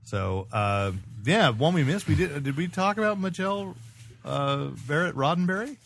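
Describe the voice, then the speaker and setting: An American man hosting a casual podcast chat, speaking in a halting, hedging way. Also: on the high side, expressive, fairly bright tone. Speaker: podcast host. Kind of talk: casual chat about comics and pop culture.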